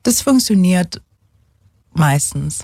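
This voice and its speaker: A woman from England, speaking German as a second language with an English accent, talks haltingly in a casual interview, searching for words and slipping in English ones. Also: fairly low pitch, expressive, a little mellow, breathy edge, dry recording, clean studio sound. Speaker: guest, single mother.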